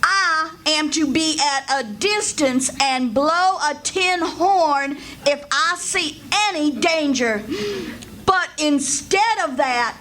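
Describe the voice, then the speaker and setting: A woman playing an excitable, easily scandalised older country aunt in an old-time radio play, with dramatic exclamations. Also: high-pitched, slow pace. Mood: alarmed, frantic. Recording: live stage recording with faint noise.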